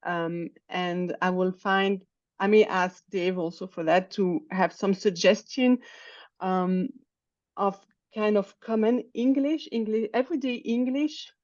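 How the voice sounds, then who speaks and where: A woman's voice: mid-range voice, steady tone, measured pace, no room sound, highs cut off. A woman whose first language is French, talking hesitantly in an online English lesson and often searching for words. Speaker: English student. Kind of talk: conversation practice in an online lesson. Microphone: video call.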